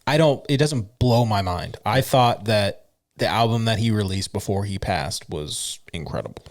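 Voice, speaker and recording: A man's voice: fairly low pitch, expressive, moderate pace. A young man co-hosting a podcast in casual chat, teasing and sarcastic, swearing freely. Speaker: podcast co-host.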